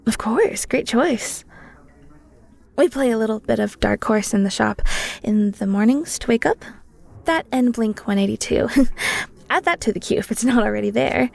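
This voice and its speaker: A woman's voice: on the high side, measured pace, vocal fry, long pauses, a little mellow. A woman playing an enthusiastic, flirty cheesemonger in a roleplay audio, chatty and theatrical, talking straight to the listener. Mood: cheerful, enthusiastic. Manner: playful, theatrical, chatty, with flustered stammers. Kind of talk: roleplay audio drama, one-sided conversation with the listener.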